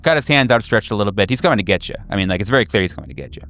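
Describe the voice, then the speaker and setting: A man narrating a horror tabletop game as game master, improvising haltingly and searching for words. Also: lowish voice, very animated, fairly bright tone. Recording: music behind. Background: clear background music.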